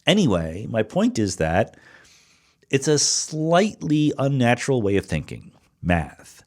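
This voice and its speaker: A man's voice: medium pitch, wildly swooping pitch, measured pace. A middle-aged man hosting a solo podcast, answering listener questions about science in a conversational, thinking-aloud way with fillers and self-corrections.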